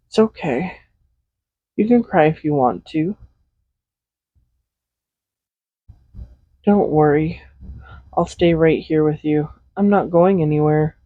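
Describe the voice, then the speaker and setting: A man's voice: on the high side, dry recording, phone-quality sound. A man playing a tender, possessive villain in an intimate roleplay audio drama, speaking in a soothing, coaxing, reassuring way. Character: tender, possessive villain comforting a captive loved one. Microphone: close USB condenser microphone.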